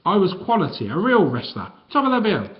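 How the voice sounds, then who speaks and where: A man's voice: high voice, wildly swooping pitch, a little fast, highs cut off, voice pushed up. A man voicing a huge, jolly old female ex-wrestler with a guttural voice. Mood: hearty.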